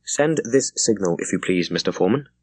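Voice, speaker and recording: A man's voice: deep voice, expressive, a little fast, a little mellow, slightly rough, dry recording. A man reading an audiobook, voicing a commanding young naval captain who gives formal, clipped and courteous orders. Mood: formal, firm. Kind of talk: dramatized audiobook character dialogue.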